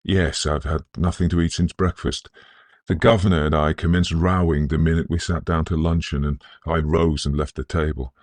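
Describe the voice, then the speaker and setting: A man voicing a proud, emotional young Victorian gentleman in an audiobook, genteel and impulsive. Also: a bass voice, flat delivery, moderate pace, no echo. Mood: cheerful, grateful.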